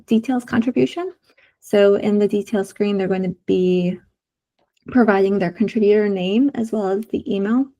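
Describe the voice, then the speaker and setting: A woman's voice: mid-range voice, dark tone. A woman with a sore throat presenting design prototypes in a video call meeting, explaining each screen step by step with frequent fillers. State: sore throat, losing her voice. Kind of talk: community meeting screen-share walkthrough.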